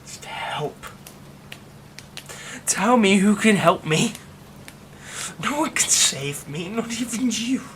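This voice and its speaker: A man voicing a menacing yandere werewolf who is an old childhood friend, in an ASMR roleplay, talking in slow, soft, threatening whispers. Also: sits high, animated, slight background noise, pitched above the performer's own voice, heavily acted.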